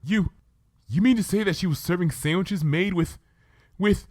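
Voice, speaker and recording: Guy doing a studious young cartoon unicorn girl, earnest n curious, pitched up, dry sound. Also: normal speed, darkish tone, no room sound. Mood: appalled. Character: earnest, inquisitive young female unicorn student.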